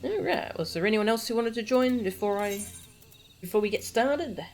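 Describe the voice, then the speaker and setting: A woman's voice: fairly high pitch, lively intonation, normal speed. A woman chatting casually to viewers as she streams a game, in an easygoing, chatty manner.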